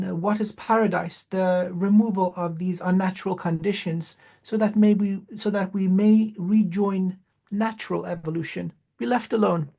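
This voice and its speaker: A man whose first language is Farsi, speaking as an interview guest with many "uh" pauses and emphatic repetition. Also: high-pitched, creaky, a little mellow. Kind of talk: spiritual interview talk.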